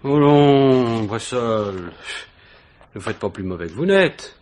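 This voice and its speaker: An actor playing a probing, ironic police inspector in a 1950s-style French radio mystery, falsely casual and insistent, with hesitant, insinuating questions. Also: male voice, mid-range voice, animated, slow, darkish tone, a little hiss or hum.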